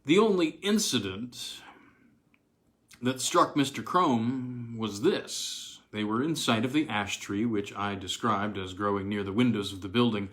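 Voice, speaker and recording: A man narrating a classic ghost story as a literary audiobook, reading expressively in a measured way with deliberate pauses. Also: lowish voice, a bit bright.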